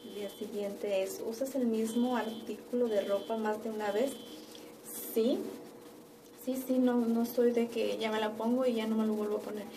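A young woman from Mexico with a Mexican accent, chatting casually and a little haltingly to camera in her living room. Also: fairly high pitch, steady tone. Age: young adult. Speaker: vlogger. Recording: distant mic, noisy room ambience.

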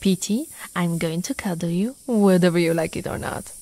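A young woman playing an affectionate, teasing lamia girlfriend in an ASMR roleplay, soft-spoken and often whispering, with a breathy, coaxing delivery close to the mic. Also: lowish voice, no echo, close to an everyday voice. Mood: playful, teasing. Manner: slow, breathy, coaxing delivery with pet names. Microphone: close, dry microphone.

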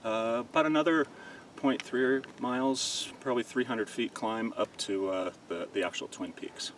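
A middle-aged hiker interviewed on a mountain trail, describing the route in halting detail with many fillers. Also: male voice, medium pitch, fairly bright tone, far from the mic, a little hiss or hum. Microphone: camera mic from a short distance.

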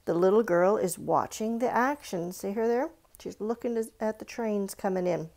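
A woman with noticeable creak in her mid-pitched voice reads aloud to children as an art presenter. She mixes the reading with informal explanations and speaks straight to her young audience, often in questions. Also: moderate pace, darkish tone. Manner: instructive, addresses young viewers directly with questions. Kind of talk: read-aloud art lesson for children, with chatty asides and questions. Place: indoors at a stone countertop with a book.

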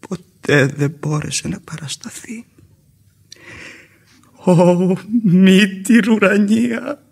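A wistful, gentle old schoolmaster and failed poet from a radio play. His voice sits fairly high, with gravelly creak and a husky rasp. His delivery is theatrical and declamatory. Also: heavily acted. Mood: proud, declamatory. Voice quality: creaky, raspy. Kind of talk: classic radio theatre acting.

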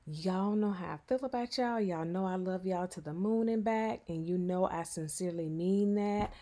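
A woman's voice: fairly low pitch, moderate pace, mellow. A woman in her fifties narrating a cleaning vlog in a chatty, affectionate voice-over, often calling her viewers y'all. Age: 50s. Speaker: cleaning vlogger.

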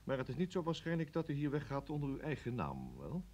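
An actor in a radio drama playing a cool, quiet security interrogator, calm and ironic as he probes. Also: on the high side, fast, highs cut off. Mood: cool irony.

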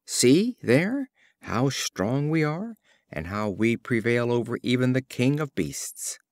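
A man's voice: mid-range voice, sing-song, slow, darkish tone, no room sound, studio quality. A man voicing a boastful male traveler in a storybook fable, declaiming emphatically.

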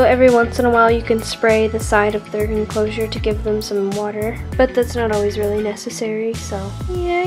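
A woman's voice: on the high side, a little mellow. Young woman gushing in a vlog voice-over. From Texas. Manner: gushing, affectionate. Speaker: vlogger.

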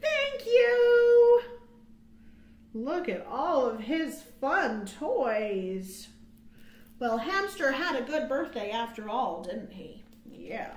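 An American woman in her thirties hosting storytime for young children, with sing-song, drawn-out phrasing, recorded indoors on a webcam mic. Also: high-pitched, slight background noise. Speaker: children's librarian, storytime host. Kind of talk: storytime read-aloud and counting rhymes for children. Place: small quiet room indoors. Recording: roomy webcam sound.

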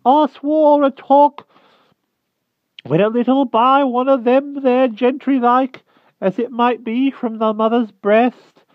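A squeaky trembling old hedgehog. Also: male voice, steady tone, slow pace, no room sound. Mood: pleading. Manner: thick rustic country dialect, quavering and pleading. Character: timid old rustic male hedgehog, humble and trembling. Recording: tinny phone-like dry sound.

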